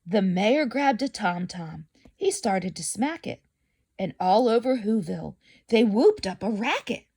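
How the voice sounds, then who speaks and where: A woman's voice: mid-range voice, sing-song, a little slow, darkish tone, slightly rough. A woman reading a children's story aloud expressively, dramatic in delivery and addressing young listeners.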